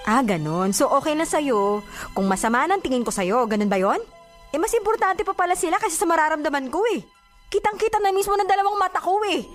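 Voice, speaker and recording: Jealous, sharp-tongued Filipina girlfriend, higher-pitched. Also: expressive, a little fast. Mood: angry, jealous. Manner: confrontational, sarcastic. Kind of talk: Filipino radio drama dialogue. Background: background music.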